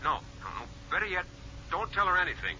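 Eager, fond wealthy father, 1950s radio drama, very varied intonation, clear background noise. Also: high voice, big pitch swings, fast, sharp tone, heavily acted. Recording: noisy muffled 1950s radio recording.

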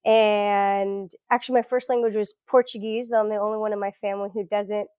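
A woman's voice: mid-range voice, level tone, fairly quick, mellow, smooth, no echo, studio quality. An American woman in her thirties chatting reflectively as an interview guest, telling her story in a casual way. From South Florida, US. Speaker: podcast guest, academic. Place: at home indoors.

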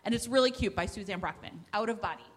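A woman podcast co-host casually recommending books at a live event, rambling and excitable as she thinks aloud. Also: medium pitch, quick pace. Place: large room with a live audience, indoors. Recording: echoey hall with long reverb.

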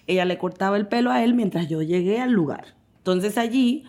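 A young adult woman from the Dominican Republic with a Dominican Spanish accent, guest in a casual interview, animated and quick to laugh as she acts out the voices in her stories. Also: medium pitch.

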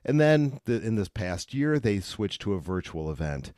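Low-voiced male interviewer, close dry sound. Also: very animated, normal speed, darkish tone, dry recording. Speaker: interviewer, host. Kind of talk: conversational interviewing.

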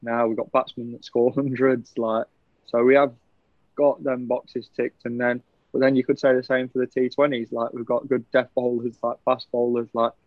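A man's voice: mid-range voice, moderate pace, no echo. Young sportsman as podcast guest, easygoing and chatty, muffled dry recording. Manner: relaxed, chatty, laughs often. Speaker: professional cricketer guest. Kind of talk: casual podcast interview. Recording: dull, lo-fi, dry sound.

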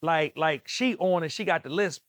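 A man from the Maryland area with a Southern accent, hosting a podcast interview, talking fast and enthusiastically. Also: on the high side, fairly bright tone, no room sound, studio quality. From Maryland, DMV area. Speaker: podcast host.

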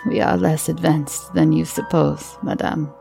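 A woman voicing a timid, uneasy older village priest who speaks in slow, hushed whispers. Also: sits low, steady tone, gravelly, soft background music, exaggerated voice.

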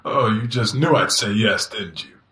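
An actor playing an easygoing, wealthy master of the house in a casual audio drama style. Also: male voice, on the low side, moderate pace, bright, forceful tone. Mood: affectionate, easygoing.

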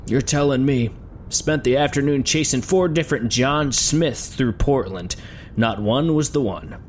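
An actor playing a gruff, husky older police detective who grumbles in colorful folksy phrases in an audio drama. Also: male voice, mid-range voice, measured pace, a little hiss or hum, natural voice. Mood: wry, gruff. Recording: music under the voice.

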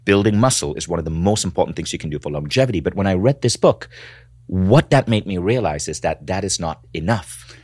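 A man's voice: low voice, lively intonation, fairly quick, darkish tone, husky, no echo, studio quality. A man with a Malaysian accent hosting a podcast interview, earnestly summing up his guest's points. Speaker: podcast host.

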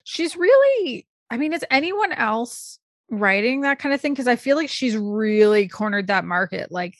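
A woman's voice: fairly high pitch, very animated, normal speed, no room sound, studio quality. A woman co-hosting a podcast in casual chat, asking curious, teasing questions and laughing often. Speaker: podcast co-host.

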